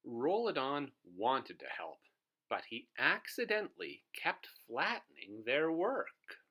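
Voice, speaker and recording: Male storybook host for young children, lively and varied delivery, bright tone, engages listeners with questions. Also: mid-range voice, takes it slowly. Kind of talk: animated children's storybook read-aloud.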